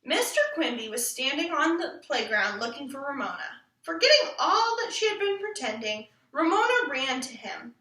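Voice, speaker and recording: Bright, high-pitched woman reading a storybook. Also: sing-song, moderate pace, few pauses. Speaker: children's book reader. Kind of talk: expressive storybook narration. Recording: roomy echo, distant from mic.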